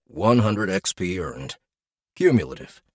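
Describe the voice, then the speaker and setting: A man's voice: deep voice, sing-song, darkish tone. A man voicing a mocking, disembodied game announcer, a gleeful prankster who draws out notifications and teases, in a fantasy audiobook. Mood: mock solemn. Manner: teasing, theatrically drawn-out announcements.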